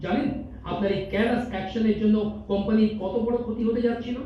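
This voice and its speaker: A man's voice: sits high, steady tone, fast talker, lightly acted. A man playing a stern, condescending company boss in an amateur stage audio drama, scolding pompously with English mixed in. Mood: reproachful, condescending. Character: stern, condescending male company boss, business-minded and impatient. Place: multipurpose hall stage. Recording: distant echoey hall, dull, faint noise.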